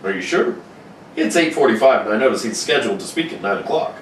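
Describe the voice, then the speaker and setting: A man's voice: mid-range voice, animated, fast, natural voice. A man playing a mild-mannered, concerned newspaper reporter in a radio serial read-through, earnest and firm. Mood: polite. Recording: echoey room, distant mic, clear noise.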